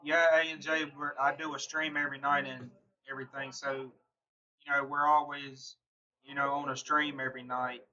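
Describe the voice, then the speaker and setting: A man's voice: medium pitch, flat delivery, normal speed, sharp tone, lo-fi sound. A man from Mississippi with a Southern accent, casually chatting with viewers on a live stream and answering them by name, laughing often. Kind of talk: casual chat reading viewer comments.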